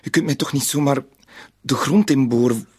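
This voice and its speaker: Husky, hesitant bank clerk. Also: male voice, medium pitch, normal speed, darkish tone. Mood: desperate, pleading. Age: middle-aged. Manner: hesitant, stammering, pleading. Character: anxious, cornered married bank clerk being blackmailed. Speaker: bank employee in a radio play. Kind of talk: Dutch-language radio drama acting.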